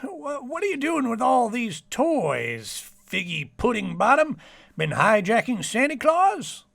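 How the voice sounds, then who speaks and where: A man playing a gravelly, high-pitched, pompous doctor. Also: huge pitch swings, measured pace, fairly bright tone, no echo, studio quality, full character voice. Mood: jovial needling. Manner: florid, mock-formal, needling. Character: pompous, insult-trading doctor in radio comedy. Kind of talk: old-time radio comedy acting.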